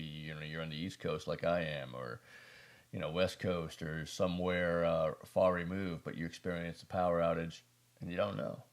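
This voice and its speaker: Deep, gravelly American man. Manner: rambling, self-deprecating, folksy asides. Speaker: prepper video host. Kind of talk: casual product review talk to camera.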